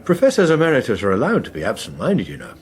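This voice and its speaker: Suave middle-aged politician, animated intonation. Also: male voice, medium pitch, fast talker. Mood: urbane, dry. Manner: suave, urbane, dry. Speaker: veteran politician. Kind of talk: radio drama acting.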